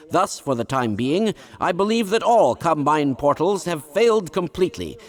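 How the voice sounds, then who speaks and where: A fussy, kindly older scientist from a video game. His voice sits slightly high and moves up and down with lively inflection. He talks in long, formal, pedantic sentences like an absent-minded academic. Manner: pedantic, wordy, formal, precise scientific phrasing. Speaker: video game character voice actor. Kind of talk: scripted video game dialogue.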